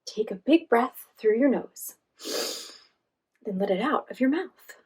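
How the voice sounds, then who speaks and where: A woman voicing a calm, caring female friend in a children's storybook, guiding gently. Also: on the high side, breathy edge, heavily acted. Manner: gentle, slow guiding delivery.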